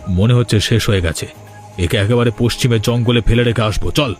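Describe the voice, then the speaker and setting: A man's voice: mid-range voice, brisk, music in the background, close to an everyday voice. A man playing a menacing, vengeful village villain in a cartoon drama. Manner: threatening, gloating.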